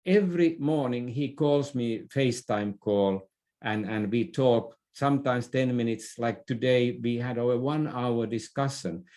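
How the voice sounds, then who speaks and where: A man's voice: mid-range voice, unhurried, mellow. A middle-aged man gives advice in a conversational interview, often hesitating and repeating words. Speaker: podcast guest, business expert.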